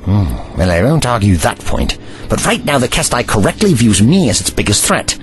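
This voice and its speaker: A man's voice: fairly low pitch, very animated, moderate pace, faint music behind. A man playing an older, commanding and protective time traveler in an audio drama, speaking formally and precisely in an avuncular way. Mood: measured, wry. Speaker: actor in a sci-fi audio drama.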